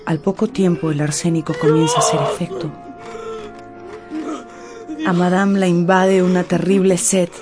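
A woman's voice: fairly low pitch, little pitch movement, moderate pace, long pauses, over music. A woman narrator reading a scripted radio serial in a solemn, suspenseful, dramatic way.

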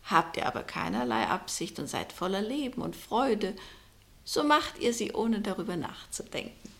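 An actress playing a mysterious, knowing fortune-teller in a vintage German radio play, declaiming poetically with hushed, breathy drops. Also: female voice, medium pitch, expressive, moderate pace. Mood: knowing, instructing. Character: mysterious fortune-teller woman casting spells, solemn and knowing. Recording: vintage 1960 radio drama recording.

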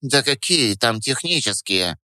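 A man voicing a snide, squeaky know-it-all rat who teases mock-grandly in an audiobook. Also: expressive, measured pace, pushed and bright, no echo. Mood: snide teasing. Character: sarcastic know-it-all male rat, teasing philosopher sidekick. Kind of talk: audiobook character voice.

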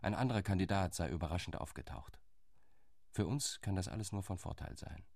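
An actor playing a calculating delegate in a radio play, reporting the news in a cool, matter-of-fact way. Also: male voice, deep voice, fairly quick, vocal fry, a little mellow, no echo, clean studio sound.

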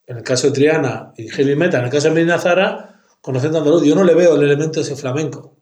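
A man talks in long, winding arguments, peppering them with slangy fillers and dropping asides. His voice sits a bit higher than most men's. The room around him is echoey. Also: a little mellow. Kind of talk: casual music chat.

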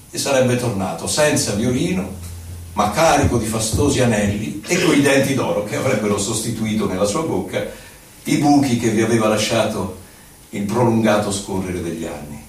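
A male stage actor playing a poet recalling his past in an expressive, measured, lyrical monologue. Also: on the low side, natural voice. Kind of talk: theatrical poetic monologue. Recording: very echoey, distant from mic, faint noise.

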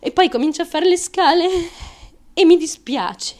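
A woman's voice: very high-pitched, normal speed, a little mellow. A woman performing a first-person theatrical radio monologue, telling her story in breathless run-on sentences. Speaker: actress.

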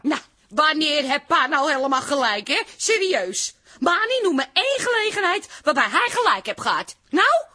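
A brash radio-play sister, high and bright in voice, swooping emphatically through her lines. She pushes and needles, bossy but encouraging. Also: sing-song, normal speed, exaggerated voice. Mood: triumphant, insistent.

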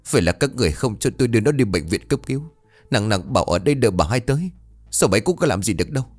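A man's voice: fairly low pitch, very animated, very fast, no room sound, soft background music. A man voicing a grieving young father who wails and chokes through his tears. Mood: accusing.

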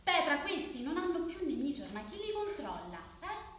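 Giovane combattiva, voce piuttosto alta. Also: female voice, high voice, big pitch swings, moderate pace, fairly bright tone, very echoey, distant mic, a little hiss or hum. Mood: combative. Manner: insistent, challenging questions. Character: outspoken, politically combative young woman, suspicious friend. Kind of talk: Italian radio drama acting.

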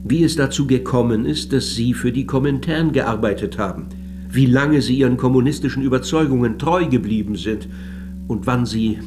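An actor playing a polite, persistent researcher in a radio drama, measured and probing as he questions someone. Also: mid-range voice, music in the background, close to an everyday voice. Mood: earnest, persuasive. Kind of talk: German radio drama acting.

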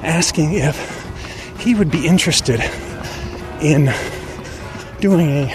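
A middle-aged man talks as a podcast host in a conversational style. His voice sits a little high for a man. Music plays clearly behind him. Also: slow pace. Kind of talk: conversational podcast monologue and interviewing.

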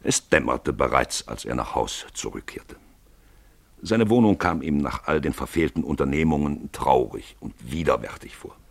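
A man narrating a radio drama from a literary script in an expressive, theatrical storytelling manner. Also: extremely low pitch, normal speed, vocal fry, no echo.

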